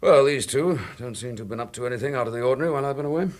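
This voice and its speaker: An actor playing a dry, dogged chief inspector in a radio drama, with a British accent and a brisk, wry, authoritative manner. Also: male voice, medium pitch, close to an everyday voice. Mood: musing, dogged.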